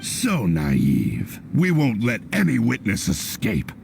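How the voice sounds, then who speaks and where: A man voicing a menacing, arrogant enemy soldier in a video game, gravelly and grandiose. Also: fairly low pitch, wildly swooping pitch, takes it slowly, background noise, full character voice. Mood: menacing, scornful. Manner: threatening, grandiose. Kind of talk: animated game dubbing.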